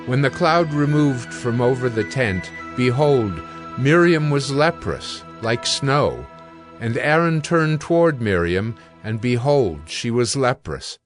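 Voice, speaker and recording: A man narrating scripture from a script in a measured, solemn manner. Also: medium pitch, expressive. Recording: music bed under the voice.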